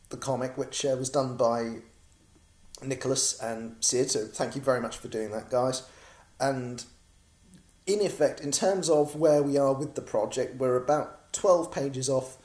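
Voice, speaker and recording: A man's voice: mid-range voice, a little mellow, reverberant. An English man from Norwich giving a casual, rambling update to backers of his comic project, with many ums and restarts. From Norwich, England.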